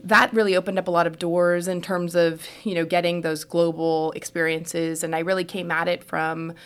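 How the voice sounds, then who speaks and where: A woman's voice: on the low side, flat delivery, normal speed, smooth. A middle-aged American woman from Houston telling her own story as a podcast guest in a reflective, explanatory, conversational way. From Houston, United States. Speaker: podcast guest, trauma surgeon. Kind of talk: conversational personal narrative in an interview.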